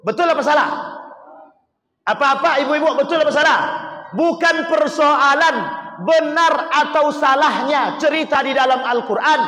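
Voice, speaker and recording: A man's voice: extremely high pitch, unhurried, pushed and bright. A man preaching an Islamic sermon to a congregation, emphatic and rhetorical, putting questions to his listeners. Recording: echoey hall with long reverb.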